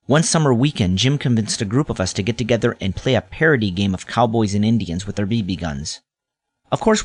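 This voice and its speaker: A man's voice: fairly low pitch, no echo. A man narrating a scripted, nostalgic first-person story as its storyteller.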